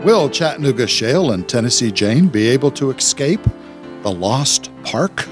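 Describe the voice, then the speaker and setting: Male announcer, dramatic cliffhanger narration, swooping pitch, music behind.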